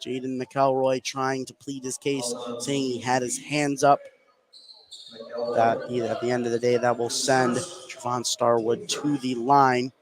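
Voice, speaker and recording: An American man doing live radio basketball play-by-play, getting excited on big plays. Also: mid-range voice, measured pace, crowd noise. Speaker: play-by-play announcer.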